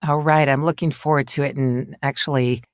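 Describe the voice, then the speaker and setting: Low-voiced woman hosting a podcast. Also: steady tone, brisk, no room sound, studio quality. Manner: effusive, complimentary. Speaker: podcast host. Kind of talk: warm chatty interview.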